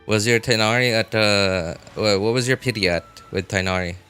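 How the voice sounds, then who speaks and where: Man, low voice, game livestreamer, casual viewer chat, halting thinking aloud, music behind. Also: pushed and bright, clean tone. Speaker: game live streamer.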